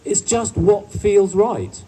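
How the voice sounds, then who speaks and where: A husky speaker gives a lively protest speech outdoors, tossing in playful asides to the listeners. The voice is picked up from a distance, with a faint crowd in the background. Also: mid-range voice, takes it slowly. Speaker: rally speaker. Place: outdoor town square rally.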